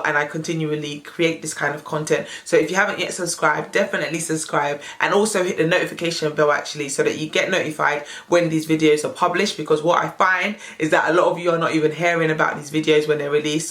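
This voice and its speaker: British woman vlogger, voice husky and on the mend, friendly rambling delivery. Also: low-pitched, a bit bright. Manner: chatty, upbeat, strings thoughts with "so". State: husky, voice recovering from loss. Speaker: personal finance video creator. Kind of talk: casual budget walkthrough to camera.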